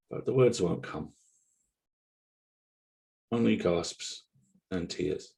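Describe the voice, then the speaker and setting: A man acting a brooding, guilt-haunted narrator in an audio drama read, with a measured, solemn, literary delivery. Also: sits low, gravelly, warm tone, heavily acted. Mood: mournful. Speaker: voice actor at a table read.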